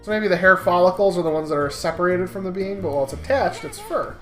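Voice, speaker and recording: A man's voice: high-pitched, big pitch swings, quick pace, a bit bright. A man in casual co-host banter, joking and acting out mock reactions. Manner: jokey, acts out mock reactions. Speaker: gaming commentary co-host. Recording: roomy echo, faint music. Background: faint music.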